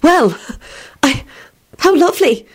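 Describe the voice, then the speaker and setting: Woman playing a high, fluttery, genteel lady. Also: expressive, normal speed. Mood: weak politeness. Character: polite, easily flustered, sympathetic lady guest.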